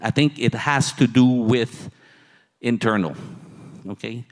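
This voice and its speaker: A man in his sixties giving a keynote as a university dean, halting and pausing often mid-sentence, on a handheld microphone in an auditorium. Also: mid-range voice. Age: older, 60s. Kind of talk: conference presentation, speaking from slides. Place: large auditorium stage. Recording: long hall reverb from PA.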